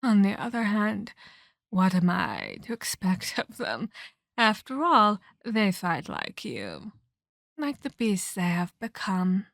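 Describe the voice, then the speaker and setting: A woman playing a haughty, menacing vampire elder in a scripted ASMR roleplay, speaking in a deliberate, taunting, condescending way with formal phrasing. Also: mid-range voice, sing-song, gravelly, fairly bright tone, no echo, clean studio sound, exaggerated voice. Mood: scornful.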